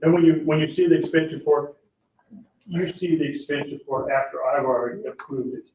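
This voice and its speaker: A man's voice: on the high side, a little fast, a little mellow, a little hiss or hum. A man speaking as a town official, explaining procedure at a public board meeting. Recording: echoey, muffled meeting room, distant mic.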